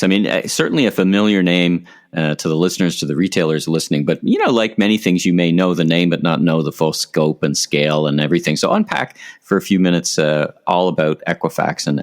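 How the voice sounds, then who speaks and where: A man's voice: low-pitched, big pitch swings, a little fast, few pauses. A man hosting a podcast interview, chatty and friendly, with frequent filler words. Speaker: podcast host.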